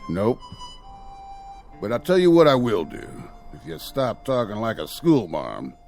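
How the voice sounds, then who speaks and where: An actor playing a ghostly old-west outlaw who talks in a slow frontier drawl. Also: male voice, on the low side, wildly swooping pitch, vocal fry, a little mellow, heavily acted. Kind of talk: radio drama acting. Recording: radio drama with music behind.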